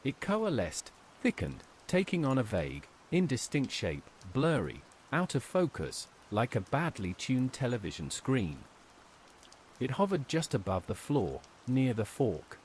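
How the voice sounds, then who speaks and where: A man narrating a scripted first-person horror story in a measured, suspenseful way. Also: mid-range voice, wildly swooping pitch, a little mellow, slight background noise.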